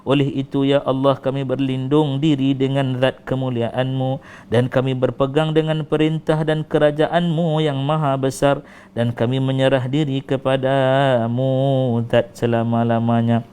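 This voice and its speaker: Malaysian Malay man leading a pleading prayer, smooth voice, fairly level pitch. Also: flat delivery, normal speed, a little mellow. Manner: pleading, rising and falling recitation with repeated invocations. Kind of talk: emotional supplication prayer.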